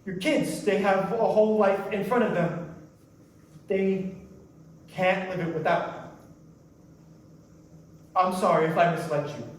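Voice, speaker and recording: A man's voice: high-pitched, fairly even intonation, slow, pauses often. Man acting an anguished, guilt-ridden man, echoing stage. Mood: sad, resolute. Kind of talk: staged play reading. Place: indoor stage before an audience. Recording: roomy echoing stage, distant mic, faint noise.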